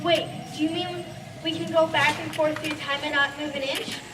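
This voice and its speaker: A woman's voice: sits high, steady tone, normal speed, noisy background, close to an everyday voice. A female player as an earnest teenage student in an amateur stage radio play. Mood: concerned, matter-of-fact. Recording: echoey stage, distant mic, loud music behind.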